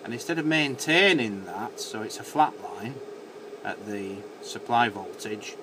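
A man's voice: lowish voice, unhurried. A man giving an unscripted, matter-of-fact technical demonstration as a repair technician at a workbench. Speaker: electronics repair technician presenting. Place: indoor electronics workbench. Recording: noisy handheld camera audio in workshop. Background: clear background noise.

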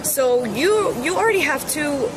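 A woman's voice: high-pitched, lively intonation, a little slow. A woman of twenty-four from Lebanon tells her life story in an interview, earnest and reflective, with frequent ums and restarts. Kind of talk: personal life story to camera. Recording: loud street traffic noise.

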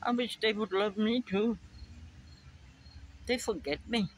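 An older woman with a German accent, musing slowly to a phone camera in her backyard about memory and family. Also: mid-range voice, slight background noise, rough recording. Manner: slow, musing, drifting between thoughts. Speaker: vlogger. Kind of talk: rambling personal monologue to camera. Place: backyard outdoors by a fence. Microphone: phone camera at arm's length. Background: crickets and insects.

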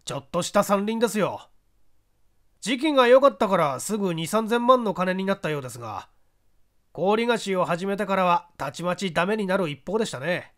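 Man reading as a forthright high-voiced male witness. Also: lively intonation, a little fast, a bit bright. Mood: matter-of-fact. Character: male business associate giving forthright, irritated testimony to investigators.

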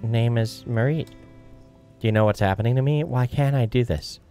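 A man's voice: mid-range voice, normal speed, mellow, pitched above the performer's own voice, lightly acted. A man voicing an earnest young witch girl. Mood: worried. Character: earnest, worried young witch girl seeking her sister. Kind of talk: voiced game dialogue. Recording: music behind.

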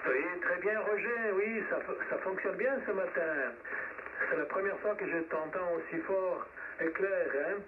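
Man, radio net host, slightly high voice, distant, narrow shortwave sound. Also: a little slow. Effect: shortwave radio transmission. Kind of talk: amateur radio net moderating. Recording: tinny, noisy shortwave radio reception, distant. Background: radio static.

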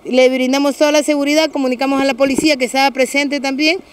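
A middle-aged woman with a Peruvian accent, a municipal security official giving a measured, official statement to reporters outdoors on a street, hesitating with fillers and restarts. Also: high-pitched, steady tone. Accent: Peruvian Spanish. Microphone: several handheld press mics.

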